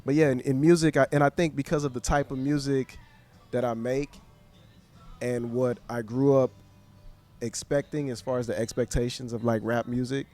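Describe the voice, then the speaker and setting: A young man hosting a podcast, answering in a thoughtful, measured, slightly hesitant way. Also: medium pitch, fairly even intonation, mellow, faint music behind. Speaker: podcast host. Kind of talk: conversational interview.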